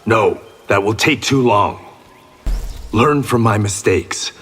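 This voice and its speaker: An actor voicing a stern, wary veteran warrior with a gangster past in a fighting video game, husky and grave, as if giving counsel. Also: male voice, lowish voice, slow, fairly bright tone, in a large hall, soft background music, full character voice. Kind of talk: video game character acting.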